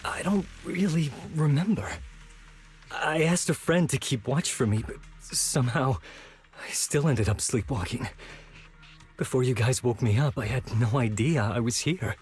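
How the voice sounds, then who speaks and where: A man's voice: medium pitch, unhurried, darkish tone, soft background music, close to an everyday voice. A man voicing a troubled, unassuming young man in a video game, with a hesitant and sincere manner. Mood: confused, uneasy.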